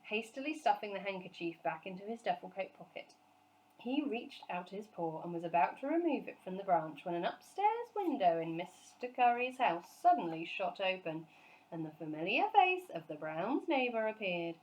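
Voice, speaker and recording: A woman storyteller reading a children's book aloud in an expressive narrating style. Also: medium pitch, normal speed, rough recording.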